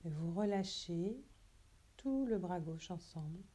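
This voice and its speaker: A woman yoga teacher leading a guided relaxation meditation in a soft, breathy, hushed and soothing, unhurried way. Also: lowish voice, expressive.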